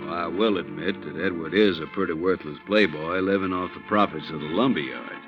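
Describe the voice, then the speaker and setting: An actor playing a skeptical, folksy police lieutenant with a drawl, in 1950s radio drama style. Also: male voice, low voice, fairly quick, music in the background, close to an everyday voice. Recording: muffled old radio broadcast with noise.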